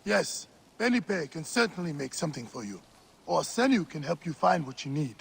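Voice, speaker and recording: An actor playing a friendly, frank village elder in a video game who teases and warns bluntly. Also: male voice, a little high, sing-song, moderate pace, vocal fry, slightly rough, full character voice.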